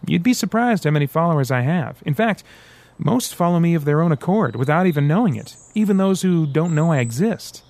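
A man's voice: on the high side, animated, a little fast, a little mellow, no echo, pitched above the performer's own voice. A man voicing a sly, mocking devil. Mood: persuasive. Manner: teasing, sarcastic, theatrical. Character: sly mocking male devil, smooth, menacing.

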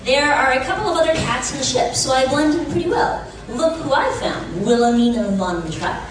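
A woman's voice: sits high, animated, moderate pace. A woman playing a sly, nonchalant talking cat in comic radio theatre, casual in manner. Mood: offhand. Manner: casual, offhand. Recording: very echoey hall, distant mic.

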